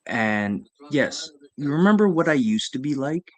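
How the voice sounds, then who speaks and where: A man in casual chat who tells animated stories and acts out what people said. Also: unhurried. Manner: animated storyteller who acts out quotes with big pitch jumps.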